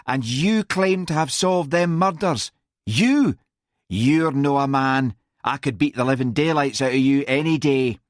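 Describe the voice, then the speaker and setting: A man's voice: medium pitch, animated, normal speed, no echo, studio quality, voice pushed up, natural voice. A man voicing a sour, contemptuous policewoman in an audiobook, with a whining, sing-song Scottish accent. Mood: sneering, aggressive.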